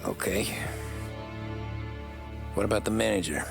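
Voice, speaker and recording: A man's voice: fairly low pitch, measured pace, creaky, music in the background. An actor playing a loyal, modest young gangster in a video game, understated and deferential to his boss. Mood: uneasy, businesslike. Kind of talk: dramatic video game acting.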